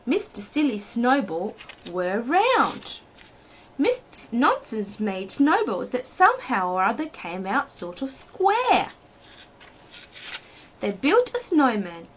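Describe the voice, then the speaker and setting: Animated, high-voiced woman reading a storybook. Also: slight background noise. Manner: animated, addresses the young listener with rhetorical questions. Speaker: storyteller reading a children's book. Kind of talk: expressive storybook narration. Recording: dull lo-fi home recording.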